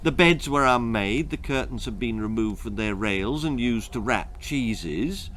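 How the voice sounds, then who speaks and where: Brisk male storyteller. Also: mid-range voice, lively intonation, fairly bright tone, slight background noise. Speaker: author reading his own story. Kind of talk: dramatic children's storytelling.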